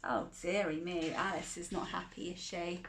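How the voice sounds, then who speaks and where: A woman's voice: lowish voice, steady tone, normal speed, background noise. A woman reading a children's picture book aloud, chuckling often and asking the listener questions. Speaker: children's storyteller.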